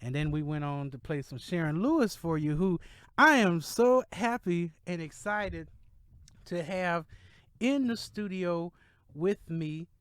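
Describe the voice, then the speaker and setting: A man's voice: high-pitched, lively intonation, measured pace, a bit bright, smooth, no echo. An American man hosting a conversational radio interview, enthusiastic and encouraging with his guest. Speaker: radio show host, interviewer. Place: radio studio. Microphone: studio microphone.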